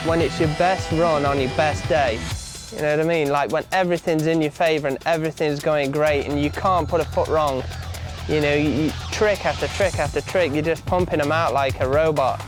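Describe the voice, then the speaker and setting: A man with a fairly high voice talks casually in a rider's interview. His speech is conversational and unscripted, with music clearly playing underneath. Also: fairly even intonation, brisk. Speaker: BMX rider being interviewed. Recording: music behind the voice.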